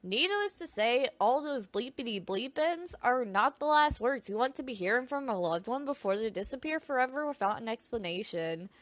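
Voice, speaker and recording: Woman w a high voice, dry close sound, reading creepy stories kinda casual, trips on words. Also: expressive, normal speed, few pauses, no echo. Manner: stumbles over words, self-conscious asides. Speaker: video narrator. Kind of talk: informal scripted narration of creepy true stories.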